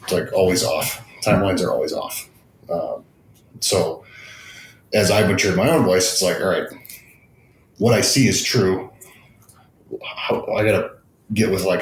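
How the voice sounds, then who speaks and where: A man casually explaining business ideas in an informal, halting way, often rephrasing as he goes. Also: sits low. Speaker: business team leader as guest expert. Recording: echoey room, faint background noise.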